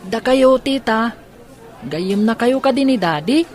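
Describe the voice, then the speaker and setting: A curious, scheming young boy voiced by a woman in a family audio drama. He sounds childlike and inquisitive, at a middling pitch for a female voice. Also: lively intonation, measured pace, darkish tone, some chatter behind, lightly acted. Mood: curious, cheerful.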